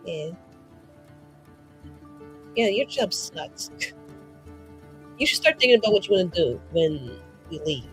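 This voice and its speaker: A woman's voice: on the low side, very animated, unhurried, pauses often, faint music behind, rough recording. A playful, exclamatory woman who swears freely, chatting casually and improvising as a player in a tabletop roleplaying game.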